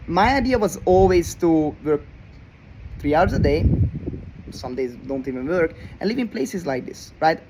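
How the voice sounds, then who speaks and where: A man's voice: high voice, rough recording. A Hungarian-raised man in his twenties giving a brash motivational talk to camera, tagging his sentences with "right?" and repeating himself. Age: 20s. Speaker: online business coach. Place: rented villa abroad.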